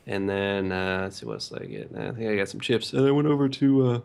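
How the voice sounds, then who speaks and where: Low-voiced man telling crude, rambling stories. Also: huge pitch swings, gravelly, a little mellow, clear, resonant tone. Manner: halting, filler-heavy, repeats himself; crude, vulgar humor. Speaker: co-host of a visual novel rant show. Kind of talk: casual rambling crude storytelling.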